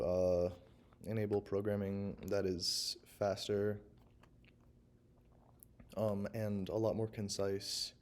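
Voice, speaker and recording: Young male student, hoarse from a sore throat; slow, flat, halting walkthrough. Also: on the low side, a little hiss or hum. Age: young adult. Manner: halting, unscripted, frequent pauses. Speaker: university student presenter. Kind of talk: recorded class presentation, screen walkthrough.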